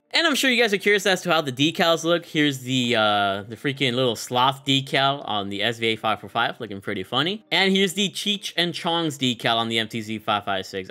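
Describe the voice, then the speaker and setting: A man's voice: medium pitch, sharp tone. A young man hosting a gaming video with casual, hyped, slangy commentary. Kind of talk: casual commentary on a game showcase.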